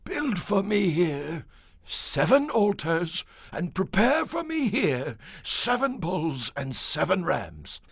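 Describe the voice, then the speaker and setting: An actor playing a solemn, fervent prophet, declaiming oracles with drawn-out emphasis in a radio-style Bible drama. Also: male voice, high-pitched, huge pitch swings, gravelly, slightly rough, no room sound, clean studio sound, exaggerated voice. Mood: solemn, commanding. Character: solemn male prophet proclaiming oracles with fervor.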